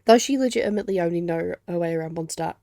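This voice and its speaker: Female streamer reacting casually to a game, blunt, sweary, warm dark tone, dry close sound. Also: fairly low pitch, rapid-fire, creaky, no echo.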